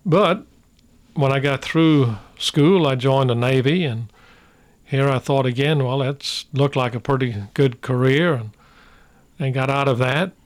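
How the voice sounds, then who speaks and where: Slow, halting older man from Norway. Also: medium pitch. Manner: slow, halting, many pauses and fillers. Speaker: radio guest. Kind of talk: reflective radio conversation.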